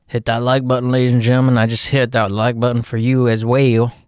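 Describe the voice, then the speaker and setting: A man with a Texan accent hosting a livestream, giving casual, folksy chart commentary and often calling out to "ladies and gentlemen". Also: medium pitch, level tone, fairly quick, clean tone, dry recording, clean studio sound. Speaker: livestream host, market analyst.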